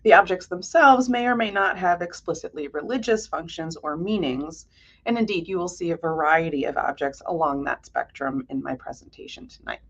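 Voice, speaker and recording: Low-voiced, creaky woman giving a lecture. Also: normal speed, muffled recording. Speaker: guest lecturer, art historian and curator. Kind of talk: prepared museum lecture.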